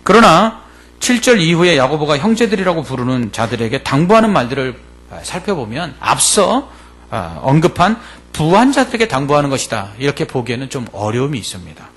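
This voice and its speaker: A male pastor delivers an expository sermon in a deliberate, explanatory way, stressing key words and posing rhetorical questions. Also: a little high, big pitch swings, fairly bright tone.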